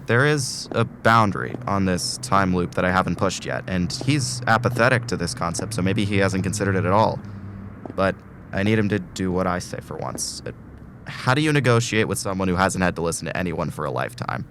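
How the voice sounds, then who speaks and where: A man's voice: low-pitched, animated, a little fast, background noise. A man playing a weary, earnest, anguished man trapped in an ordeal, in an emotional audio drama style. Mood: grim resolve. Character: anguished, principled man trapped in time loop.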